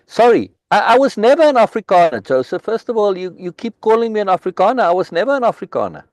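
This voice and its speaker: A man hosts a live reading discussion over a video call, pressing his points with insistent repetition. His voice sits fairly high and rises and falls widely. The sound is dry and close, with no room echo. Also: big pitch swings, fast talker, darkish tone. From South Africa. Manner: emphatic, insistent, repeats key words. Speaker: host leading a book reading discussion.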